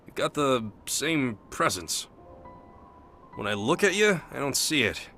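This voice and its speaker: Wistful American soldier over music. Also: male voice, medium pitch, big pitch swings, unhurried, sharp tone, heavily acted. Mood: awkward, hopeful. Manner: colloquial, wistful, plain-spoken. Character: earnest rough-edged soldier devoted to his missing partner. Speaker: voice actor in a game cutscene. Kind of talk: game cutscene acting.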